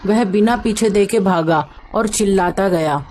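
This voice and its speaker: A woman's voice: mid-range voice, a little slow, noisy background. A woman reading a scripted story as its narrator.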